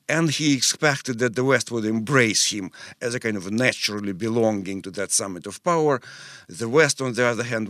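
A man in his fifties from Russia, speaking, answers interview questions thoughtfully, pausing with fillers, in a studio. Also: lowish voice, fairly bright tone, dry recording. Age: 50s. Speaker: guest expert.

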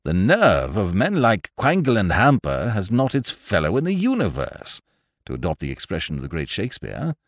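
A man's voice: fairly low pitch, huge pitch swings, normal speed, no echo, clean studio sound, pitched above the performer's own voice. A man voicing a courtly, old-fashioned elderly English solicitor in an audiobook, mild and genial, with an English accent and a habit of repeating his phrases. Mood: excited, admiring. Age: older. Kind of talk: audiobook character voice.

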